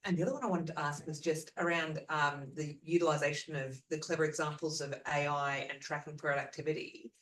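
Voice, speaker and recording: Echoey off-mic sound, higher-than-average male voice, polite audience questioner, conversational. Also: steady tone, brisk, few pauses, a bit bright, distant mic. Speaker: audience member asking questions. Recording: echoey room, off-mic pickup.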